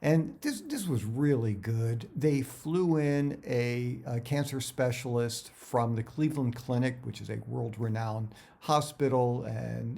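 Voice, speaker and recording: A middle-aged man giving career advice in a casual, conversational way, halting and often repeating words as he talks directly to viewers. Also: mid-range voice, creaky, mellow.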